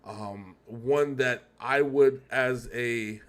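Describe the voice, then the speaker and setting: A man's voice: medium pitch, extremely slow. A man hosting a casual music reaction chat, rambling and often correcting himself as he thinks aloud. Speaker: reaction show host.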